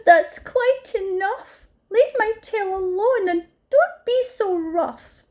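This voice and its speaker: A woman voicing a confused little fluffy baby elephant, talking in a high squeaky sing-song. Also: huge pitch swings, slow pace, a little mellow, exaggerated voice. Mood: cross.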